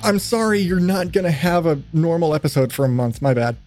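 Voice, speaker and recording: A man's voice: fairly high pitch, fairly quick, darkish tone, faint music behind. A man hosting a podcast in a casual, rambling, sweary chat style. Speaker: podcast host.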